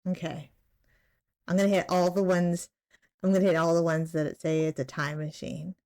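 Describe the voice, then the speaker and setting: A woman doing a casual let's-play, reading game text aloud and murmuring to herself in a halting way. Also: lowish voice, little pitch movement, darkish tone. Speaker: gaming streamer playing a visual novel.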